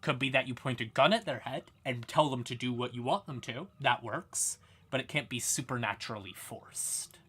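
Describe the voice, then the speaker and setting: A man's voice: medium pitch, moderate pace, fairly bright tone, slightly rough. A man hosting a livestream, explaining fantasy lore in a casual, informal manner with some swearing. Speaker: live streamer and host.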